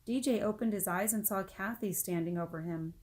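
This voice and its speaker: A woman reading a children's book aloud as an expressive storyteller. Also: mid-range voice, fairly quick. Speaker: storybook reader.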